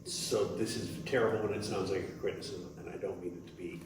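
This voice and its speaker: A man's voice: sits low, fairly even intonation, quick pace, noisy background. A man in an audience giving earnest, conversational critique, often checking he is understood. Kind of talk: conversational constructive feedback. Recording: very echoey room, distant mic.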